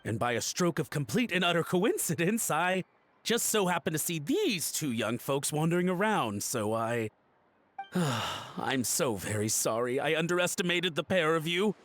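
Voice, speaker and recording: Theatrical, nervous gentleman collector, wildly swooping voice. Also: on the high side, very animated, moderate pace. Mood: hesitant, explaining. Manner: flustered stammering and melodramatic pleading. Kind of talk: voice-acted game dialogue.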